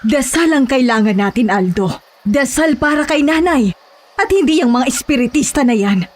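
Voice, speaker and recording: A woman's voice: high-pitched, expressive, normal speed, a little hiss or hum. A woman playing a devout, disapproving wife and mother, scolding and insistent, in a horror audio drama. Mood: reproachful.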